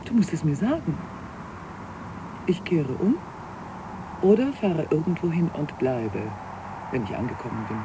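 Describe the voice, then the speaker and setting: An actress playing an unhappy, devoted and bitter wife in a 1980s German radio drama, speaking in a deliberate, reflective, literary way. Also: female voice, low-pitched, lively intonation. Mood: questioning. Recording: noisy cassette copy of radio play.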